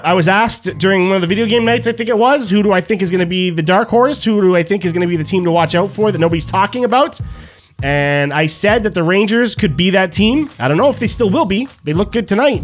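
A young man streaming casual post-game hockey talk to viewers from a small room at home, close on a studio mic. He talks in an animated way and repeats phrases for emphasis. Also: sits high. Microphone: studio mic on stand, headphones on.

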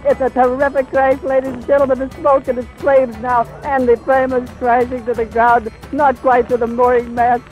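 A man's voice: extremely high pitch, little pitch movement, normal speed, few pauses, darkish tone, lo-fi sound, faint music behind. A man gives a distraught live eyewitness report on old 1930s radio.

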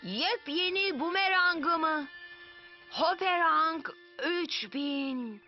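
A woman voicing an eager, excitable young boy in a dubbed children's cartoon. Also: very high-pitched, extremely slow, full character voice. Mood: excited. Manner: eager, exclamatory. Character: excited young boy character with a new boomerang toy. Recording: dull lo-fi audio with loud music.

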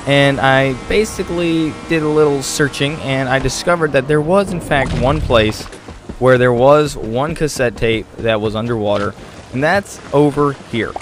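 A man's voice: medium pitch, normal speed, slight background noise. A man giving casual game walkthrough commentary, chatty and thinking aloud as he plays. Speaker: gaming video host.

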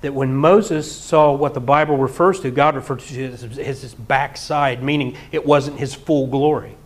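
A middle-aged man preaching a sermon in a church hall, animated and often breaking into mock singing and laughter. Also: medium pitch, normal speed. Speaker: pastor. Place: church hall with projector screen.

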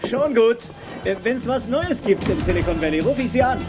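A man's voice: extremely high pitch, animated, fairly quick, over music. A man playing a cocky, impatient hero in a radio play, with a brash, flippant manner. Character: cocky, impatient male hero driver, easily irritated. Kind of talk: German radio play acting. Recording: noisy car and street ambience, cassette.

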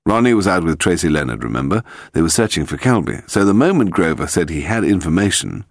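A gentleman detective in an audiobook mystery, acted by a male reader. He is calm and cheerful, explaining with wry ease. The melody is lively and the sound close and dry. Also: low-pitched, fast talker, creaky, no room sound, heavily acted.

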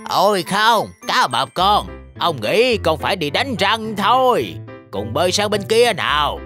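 A performer voicing a kindly old grandpa shark in a children's cartoon, animated and coaxing. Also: mid-range voice, a little slow, faint music behind. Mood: concerned.

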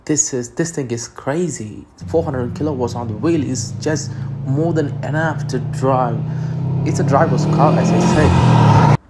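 Young man, indian accent, keen car talk, average pitch, clear wind and road noise. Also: a little slow. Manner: enthusiastic, repeats words as he talks. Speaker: car vlogger. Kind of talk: casual car review. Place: outdoors on a quiet road.

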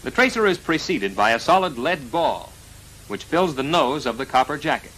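An American man narrating a 1940s military instructional film in a formal, precise, measured delivery, on a vintage film soundtrack. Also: fairly high pitch, big pitch swings, fairly bright tone, slight background noise, low-quality recording. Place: voice-over recorded for a film.

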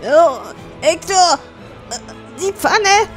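A woman's voice: very high voice, extremely slow, faint music behind, heavily acted. A woman playing a frightened young dwarf heroine, crying out urgently.

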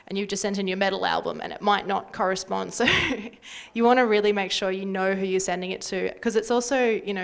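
A woman's voice: mid-range voice, creaky. A young adult woman with an Australian accent giving practical advice in panel talk on a handheld microphone. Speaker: community radio panelist. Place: indoor panel event.

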